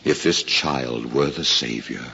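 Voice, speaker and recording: An actor in a 1950s radio drama playing a grave, kindly older uncle, earnest and theatrical. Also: male voice, extremely low pitch, unhurried, sharp tone, exaggerated voice. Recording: muffled, noisy old radio recording.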